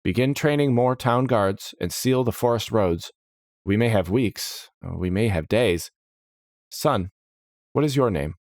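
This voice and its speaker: Man playing a brisk, stern fantasy court steward. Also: fairly low pitch, animated, a little mellow, dry recording, studio quality, pitched above the performer's own voice. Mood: commanding, brisk. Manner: brisk, formal, commanding. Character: stern, officious male steward of a lord's court.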